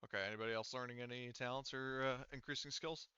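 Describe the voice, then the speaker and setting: Bright, clear-voiced man running a game. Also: mid-range voice, steady tone, fast, dry recording, dull audio. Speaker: game master. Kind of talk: casual tabletop game rules talk.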